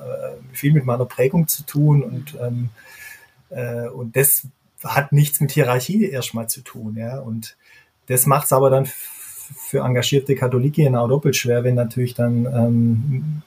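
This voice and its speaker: A man speaks as a guest on a podcast, weighing his words in a measured, reflective way. His voice is husky and sits at a middle pitch for a man. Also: mellow. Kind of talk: casual podcast conversation.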